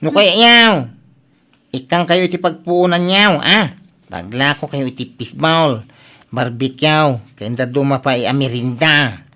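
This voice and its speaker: A woman's voice: deep voice, a little slow, bright, forceful tone. A woman playing an outspoken relative in an Ilocano radio-style comedy drama. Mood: encouraging.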